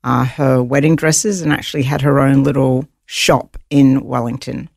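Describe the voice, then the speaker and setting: Gravelly woman with a New Zealand accent. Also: a bass voice, fairly even intonation, a little mellow, no room sound, clean studio sound. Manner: earnest, halting, many fillers. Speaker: guest. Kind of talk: personal testimony interview.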